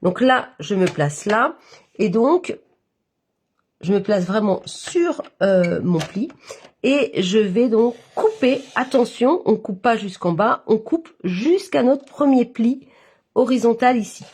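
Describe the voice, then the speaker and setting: A woman's voice: fairly high pitch, lively intonation, takes it slowly, darkish tone, a little hiss or hum. A woman with a head cold, talking nasally as she walks viewers through a papercraft project step by step, with many fillers. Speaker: papercraft instructor. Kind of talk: live-stream craft tutorial.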